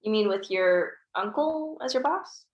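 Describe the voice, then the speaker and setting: Casting: a woman reading a sharp-tongued young daughter, medium pitch, roomy echo. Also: animated, measured pace, muffled recording, natural voice. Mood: wry. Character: teasing young adult daughter. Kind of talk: table read.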